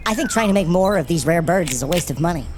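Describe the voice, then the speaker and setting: A woman playing an argumentative, skeptical museum visitor in naturalistic audio comedy, deadpan and rambling, repeating her words. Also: lowish voice, quick pace, noisy background, close to an everyday voice. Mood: skeptical, needling.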